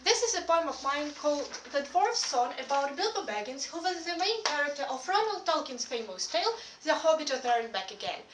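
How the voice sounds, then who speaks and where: A woman's voice: high-pitched, sing-song, a little fast, few pauses, fairly bright tone, slight background noise. A teenage girl giving a rehearsed student presentation in an earnest way. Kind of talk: recited speech presentation. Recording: roomy, from a distance.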